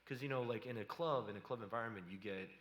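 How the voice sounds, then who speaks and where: A man with a low voice, an electronic music producer giving a casual livestream tutorial from his home studio, loose and chatty with many fillers. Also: fast talker, a bit bright. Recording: roomy echoey sound.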